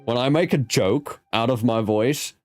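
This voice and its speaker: A man's voice: mid-range voice, measured pace, dry recording. A man from South Africa with a South African accent, whose first language is Afrikaans, hosting a casual interview and chatting in a rambling way with many restarts. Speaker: host. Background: soft background music.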